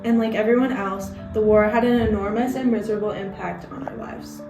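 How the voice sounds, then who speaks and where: A woman's voice: medium pitch, steady tone, brisk, vocal fry, lightly acted. A young woman playing a proud male hockey team captain in a scripted mock interview, earnestly reading prepared answers. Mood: earnest pride. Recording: roomy echo, far mic, music behind.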